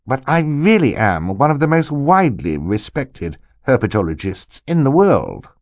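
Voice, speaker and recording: Excitable, jovial, swooping older uncle. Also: male voice, medium pitch, moderate pace, no echo, pitched above the performer's own voice. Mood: self-important. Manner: animated, emphatic, theatrical. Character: excitable, jovial, self-important older uncle, a snake scientist. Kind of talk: audiobook character voice.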